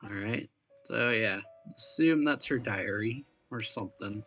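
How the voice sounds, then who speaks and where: A man's voice: on the low side, a little slow, a bit bright, soft background music. A man hosting a gaming video, casually commenting and reading game text aloud in a laid-back way with mumbled asides.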